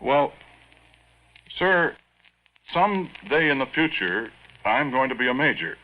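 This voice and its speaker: Flustered, swooping young army sergeant. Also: male voice, mid-range voice, big pitch swings, takes it slowly. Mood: earnest, eager. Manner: stammering, deferential, insistent. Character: flustered, earnest young army sergeant with time-travel theory. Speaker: staff sergeant. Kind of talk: 1950s radio drama acting. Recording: dull, noisy 1950s radio recording.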